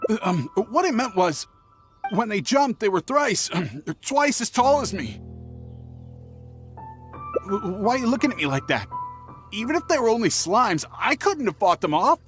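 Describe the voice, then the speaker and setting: Stammering, shifty merchant, wildly animated. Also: male voice, sits high, measured pace, long pauses, exaggerated voice. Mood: defensive, flustered. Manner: stammering, hesitant, evasive. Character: nervous, shifty traveling merchant man with dubious excuses. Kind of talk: animated game voice acting. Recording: music behind the voice. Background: game music.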